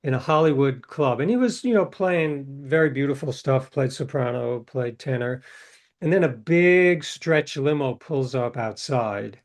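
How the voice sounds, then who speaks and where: A middle-aged man, a novelist guest in an interview, reflecting in a relaxed, storytelling way with frequent restarts. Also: medium pitch, a little slow.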